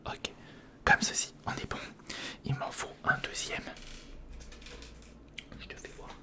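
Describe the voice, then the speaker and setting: An ASMR host whispering breathily and close to the mic, thinking aloud to the listener through a step-by-step build. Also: measured pace. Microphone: close recorder or studio condenser microphone.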